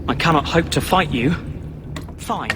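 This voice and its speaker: Man playing a high-voiced, defiant young elf mage. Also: measured pace, background noise, natural voice. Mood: resigned, bitter. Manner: emotional, indignant, wounded. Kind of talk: video game voice acting.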